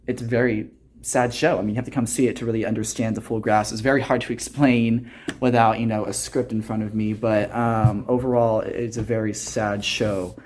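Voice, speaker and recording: A young man in his late teens with a fairly low voice speaks as an interview guest. He is earnest and reflective, explaining things conversationally and softening them with little hedges like "kind of" and "you know". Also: level tone, a little fast, a little hiss or hum. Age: teens, high school senior. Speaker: student actor interviewed as a guest.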